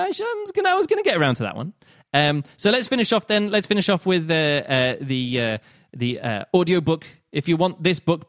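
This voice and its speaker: Man, podcast host, slightly high voice, dry close sound, rambling casual chat. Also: fairly bright tone, dry recording. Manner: rambling, many fillers and restarts.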